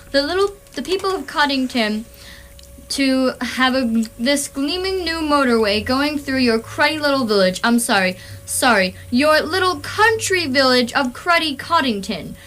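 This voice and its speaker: Pompous high-voiced lady dignitary played by a woman. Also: fairly bright tone, a little hiss or hum, low-quality recording. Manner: grandiose, patronizing, declamatory. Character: pompous, condescending upper-class lady dignitary making speech. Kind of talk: amateur radio theatre acting, ceremonial speech.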